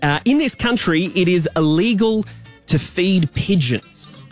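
Male radio host, higher-pitched voice, casual quizmaster banter over music. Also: lively intonation, unhurried. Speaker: radio co-host running a quiz.

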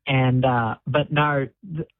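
A man in his twenties with an Australian accent, a guest talking in a relaxed, modest way with many fillers over the phone. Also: mid-range voice, slow, no room sound. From Queensland, Australia. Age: 20s. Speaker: guest bull rider. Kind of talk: phone interview on radio. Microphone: phone line. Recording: tinny phone line.

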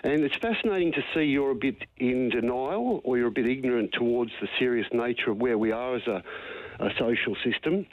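A man's voice: medium pitch, a little fast, no room sound. A man with a broad Australian accent on a phone line, calling a radio show with earnest, matey, opinionated talk. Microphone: telephone. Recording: tinny, narrow phone line.

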